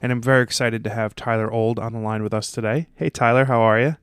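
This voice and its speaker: A man's voice: medium pitch, fairly even intonation, quick pace, fairly bright tone, smooth, dry recording, studio quality. A male podcast host welcoming a guest and asking long, rambling questions full of "uh" and "like". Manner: friendly, rambling questions with fillers. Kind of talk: casual interview questions.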